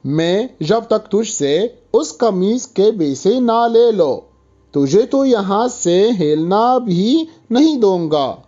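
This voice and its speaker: A man voicing an arrogant bully in a cartoon story, speaking in a haughty, loud, threatening way. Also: sits high, lively intonation, dull audio, voice pushed up. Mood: furious, threatening.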